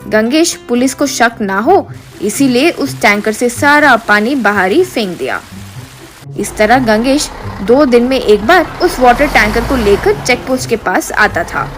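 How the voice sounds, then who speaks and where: A woman's voice: on the high side, expressive, a little slow, music in the background. A woman narrator reading a scripted comic moral story.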